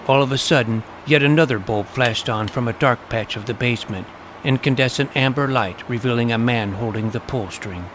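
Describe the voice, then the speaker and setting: A man's voice: medium pitch, moderate pace, close to an everyday voice. A man playing a serious, imaginative twelve-year-old vampire hunter, narrating his own story in a dramatic, bookish way. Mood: distracted, tense. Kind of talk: scripted first-person story narration. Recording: noisy ambient background.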